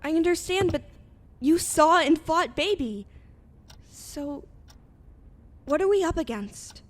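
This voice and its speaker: A woman's voice: very high voice, lively intonation, slow pace, full character voice. A woman voicing an exasperated but caring young woman, a fighter's daughter, in a fan-made anime roleplay. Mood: guarded, serious.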